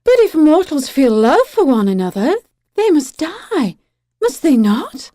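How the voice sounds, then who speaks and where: An actress playing a proud, alluring young Siren in an audio drama, speaking in an imperious, deliberate and dramatic way. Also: female voice, high voice, big pitch swings, mellow, no room sound, clean studio sound. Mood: cool, curious.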